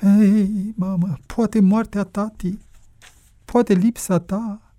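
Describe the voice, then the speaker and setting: A narrator voicing a worried, sorrowful elderly mother who laments softly and plaintively. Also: mid-range voice, steady tone, no room sound, pitched above the performer's own voice, natural voice. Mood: anguished, weary.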